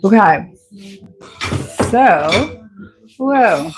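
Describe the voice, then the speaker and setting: Woman, Jamaican accent, middle-aged, slow easy pace, playful casual cooking chat, faint background noise. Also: mid-range voice. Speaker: cooking vlog host. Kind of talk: casual chatty cooking commentary. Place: home kitchen.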